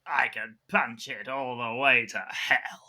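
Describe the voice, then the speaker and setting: Man playing a bright, forceful melodramatic action hero. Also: mid-range voice, fairly even intonation, takes it slowly, full character voice. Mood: urgent, heroic. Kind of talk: improvised audio comedy roleplay.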